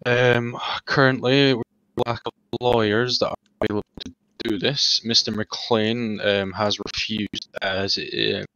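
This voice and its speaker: Halting, formal man, bright low voice. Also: fairly even intonation, no room sound, highs cut off. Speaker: online roleplayer playing a lawyer. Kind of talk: formal in-character explanation.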